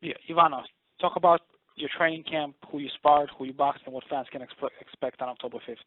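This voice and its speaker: Flat-toned man moderating over phone. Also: on the high side, brisk, no echo. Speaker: press call moderator. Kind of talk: semi-formal introductions and moderating. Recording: narrow phone conference line.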